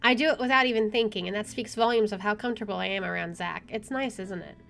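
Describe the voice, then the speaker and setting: A woman's voice: mid-range voice, fast talker, vocal fry, a bit bright. Woman streamer reading over game music. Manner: informal read-aloud with offhand asides. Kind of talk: reading game narration aloud, casual playthrough.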